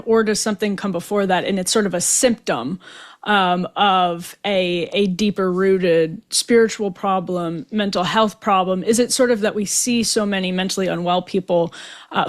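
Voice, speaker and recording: Female podcast host, chatty and thinking aloud, loose, rambling questions. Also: medium pitch. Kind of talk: conversational interview questions.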